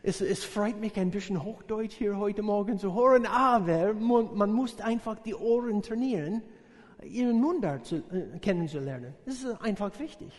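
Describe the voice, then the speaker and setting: An American man, a visiting missionary preacher, speaking a sermon in a learned language with many pauses and fillers, joking with the congregation. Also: high-pitched, darkish tone. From USA. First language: English. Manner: halting, searching for words, many fillers, jokes with congregation. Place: church service hall. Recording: reverberant church hall.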